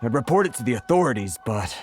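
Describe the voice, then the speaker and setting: A man playing a flustered, self-pitying but polite merchant in a video game, with a husky voice and a hesitant, complaining manner. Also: medium pitch, very animated, fast, a little mellow, faint music behind, natural voice. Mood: dejected. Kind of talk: scripted game dialogue.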